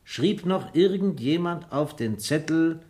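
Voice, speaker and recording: A man's voice: on the high side, lively intonation, slow, darkish tone. A man acting in a radio drama as a sceptical, authoritative police inspector who questions in a dry, probing way. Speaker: actor playing a police inspector.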